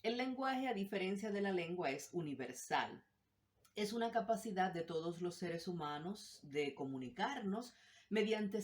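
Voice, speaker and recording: Woman teacher, lower pitch, steady explanatory read with emphasis on examples. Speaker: language teacher. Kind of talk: explanatory lesson.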